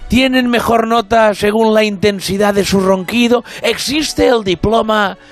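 Man doing a high-pitched, bombastic rhyming commentator. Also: measured pace. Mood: pompous, punning. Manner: declaims in rhymes and puns, exclaiming. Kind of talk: comedy radio impersonation. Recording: music playing behind.

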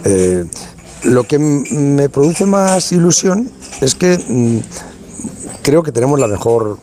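A man's voice: medium pitch, sing-song, slow pace, warm tone, a little hiss or hum. A man from Spain, organizer of a youth football tournament, talking in a casual radio interview, colloquial and full of restarts and tag questions.

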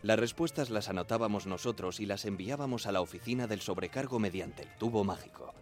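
A man acts as a young telegraph operator from the 1910s in a radio drama. His voice is low and he tells his story in an earnest, measured way, with music behind him. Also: natural voice. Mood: measured, reminiscing. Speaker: actor narrating a radio drama. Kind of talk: first-person radio fiction narration.

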